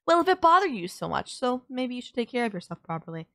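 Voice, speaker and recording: A woman's voice: on the high side, sing-song, fast. Woman acting as a sardonic but caring villainess. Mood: teasing, caring. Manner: blunt, teasing, exasperated yet nurturing. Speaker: voice actor. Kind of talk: audio roleplay drama, intimate one-sided dialogue.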